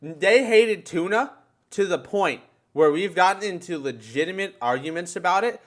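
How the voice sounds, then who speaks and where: A man's voice: high voice, animated, moderate pace. A man hosting a live stream with casual, profane group banter and crude joking.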